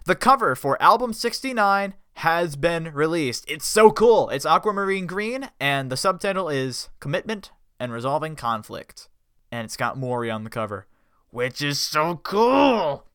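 A man's voice: on the high side, pushed and bright, clean tone, no room sound, clean studio sound. A young man hosting a casual fan news podcast, chatty and upbeat, often correcting himself.

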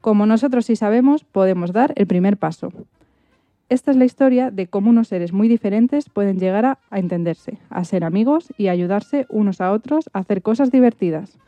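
A woman's voice: mid-range voice, a little fast, dry recording, highs cut off. A woman, an author reading a personal story aloud.

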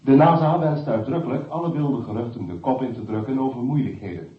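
An actor playing a radio newsreader in a drama, reading formally and in measured phrases through a radio filter. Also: male voice, mid-range voice. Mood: formal, reassuring. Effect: radio set filter. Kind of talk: scripted news bulletin in radio drama. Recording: echoey, tinny radio broadcast.

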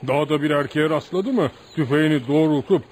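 An actor in a radio play voicing a deferential village man who tells outlaw legends with admiration, like an animated folk storyteller. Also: on the high side, a little fast, lightly acted. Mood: earnest, admiring. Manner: animated folk storyteller, addresses listener as sir. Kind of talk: radio theatre acting. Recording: noisy radio drama recording.

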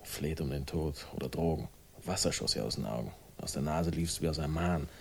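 Deep, world-weary crime drama hero, terse, wry, troubled. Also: male voice, brisk, gravelly, a little mellow, slight background noise. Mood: bitter, haunted. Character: world-weary, sardonic man with a troubled past. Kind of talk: 1960s German radio crime drama acting.

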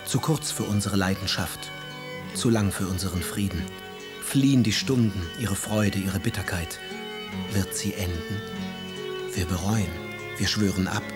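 Scornful young lord poet, low voice, haughty and cutting, radio play with music behind. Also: male voice, unhurried, pauses often. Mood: passionate, reciting. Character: cruel, scornful young aristocratic poet husband. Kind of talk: German radio drama acting.

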